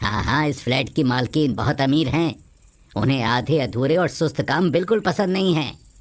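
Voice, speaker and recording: A woman voicing a male building contractor in a cartoon story, a brisk, matter-of-fact boss. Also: rumbling low. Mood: brisk, bossy. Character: building contractor, a man, brisk boss, sympathetic but bossy.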